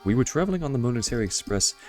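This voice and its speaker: A man voicing a courteous, composed gentleman professor from a game, reading the lines politely. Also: medium pitch, big pitch swings, very fast, faint music behind, voice pushed up, lightly acted.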